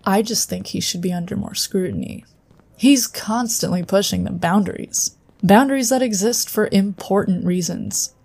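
Lady playing a psychic space agent, mellow and earnest, talks conversationally, sci-fi audio drama. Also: mid-range voice, normal speed, vocal fry, slightly rough. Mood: concerned, insistent. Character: earnest female psionic agent, loyal but wary.

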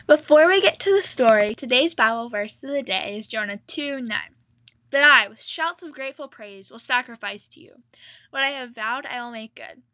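Female kids' podcast host, very high pitch, bright forward tone, presenting to listeners. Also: lively intonation, moderate pace. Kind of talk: scripted children's podcast talk.

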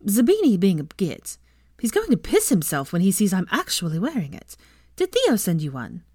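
A woman's voice: medium pitch, wildly swooping pitch, moderate pace, dark tone, husky, no echo, clean studio sound. A woman voicing a brash, fiery teenage girl with a blunt, emphatic manner in an audiobook. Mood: teasing, brash. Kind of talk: audiobook character voice.

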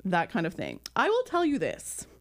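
A woman in her thirties from Canada, hosting a podcast in casual chat with many ums and pauses. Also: mid-range voice, huge pitch swings. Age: 30s. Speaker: podcast host.

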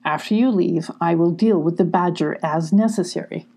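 A composed, calculating male leader from a children's story, read by a woman. The voice is mellow and a little husky. The phrasing is measured and deliberate. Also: on the low side. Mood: calm, planning. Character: calm, calculating male leader planning a rescue.

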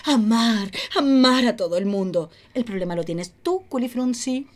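A woman playing a blasé, disdainful psychiatrist in a comic radio play, with a sardonic, drawn-out delivery. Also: fairly high pitch, lightly acted. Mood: brisk, theatrical. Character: haughty, blasé female psychiatrist, disdainful of her patients.

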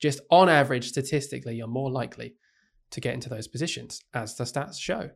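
A man co-hosting a casual podcast, laying out his reasoning in a measured way. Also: mid-range voice, vocal fry. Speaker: podcast co-host, teacher.

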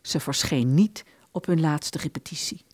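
A woman narrating a literary radio play from a script in an expressive storytelling manner. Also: low voice, normal speed, slightly rough, dry recording, clean studio sound.

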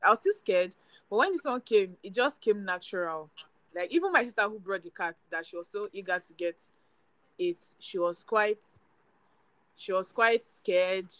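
A woman's voice: mid-range voice, pushed and bright, no echo, rough recording. A young woman from Nigeria co-hosting a podcast with a sweet, soothing voice, chatty and rambling with many fillers. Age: young adult. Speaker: podcast co-host. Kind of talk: casual chat.

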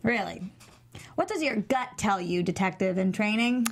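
A woman's voice: medium pitch, lively intonation, normal speed, a little hiss or hum, lightly acted. A woman playing a prickly, sarcastic police detective in a table read of a TV script. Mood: commanding. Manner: dry, sarcastic, blunt. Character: sardonic, tough, prickly female police detective.

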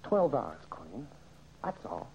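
Brutal American gangster, wildly swinging pitch. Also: male voice, wildly swooping pitch, slow pace, darkish tone, heavily acted. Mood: threatening. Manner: gruff, slangy threats. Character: brutal, impatient male gangster henchman. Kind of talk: old-time radio crime drama acting. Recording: very noisy, muffled old radio recording.

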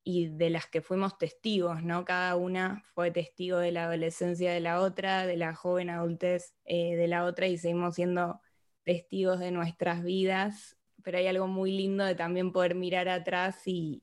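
Mujer reflexiva de treinta años, voz algo grave. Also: female voice, lowish voice, little pitch movement, moderate pace. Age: 30s. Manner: reflective, thoughtful. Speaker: podcast host. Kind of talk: casual interview chat.

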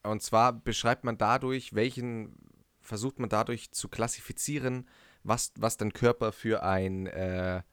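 A young man co-hosting a casual podcast chat in German, halting and restarting often and laughing easily. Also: on the low side, steady tone, fairly bright tone, clean tone, no echo, clean studio sound. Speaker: podcast co-host.

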